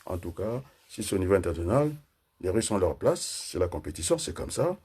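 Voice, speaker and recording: Man from Congo, political monologue, husky, animated pitch. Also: a little fast. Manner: combative, hesitant with many fillers. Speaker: political commentator.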